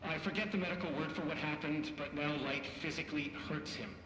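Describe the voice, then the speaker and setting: A bright, echoing intercom carries a nervous game-character staffer. He rambles awkwardly and fumbles for words, excitable and chatty, in a fairly high voice. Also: fairly quick. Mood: anxious. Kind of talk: naturalistic game cutscene dialogue. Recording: echoey intercom in big hall, faint noise.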